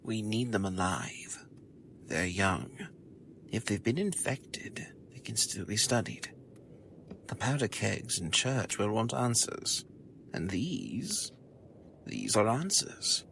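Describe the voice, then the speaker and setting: A man's voice: on the low side, expressive, slow pace, vocal fry, fairly bright tone, a little hiss or hum, exaggerated voice. A man playing a cold, callous hunter commander in a gothic audio drama, emotionless and contemptuous. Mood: cold calm.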